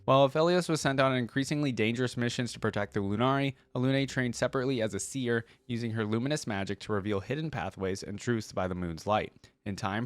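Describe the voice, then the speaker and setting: A man's voice: lowish voice, dry recording. Man doing casual reaction commentary, close dry sound. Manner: thinks aloud, informal asides. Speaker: YouTube reaction host. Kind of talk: casual reaction commentary, reading lore aloud.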